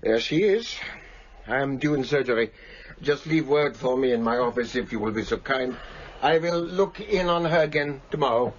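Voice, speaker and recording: An actor playing a busy, courteous hospital doctor in a radio drama, with a formal, brisk manner. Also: male voice, mid-range voice, a little hiss or hum, close to an everyday voice. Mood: businesslike. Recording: slightly dull radio recording.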